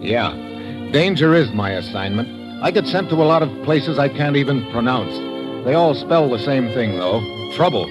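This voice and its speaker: A man's voice: medium pitch, lively intonation, moderate pace, close to an everyday voice. A man playing a wry, hard-boiled secret agent in old radio noir, narrating and talking in clipped, sardonic phrases. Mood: wry, world-weary. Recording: dull old radio broadcast. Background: music.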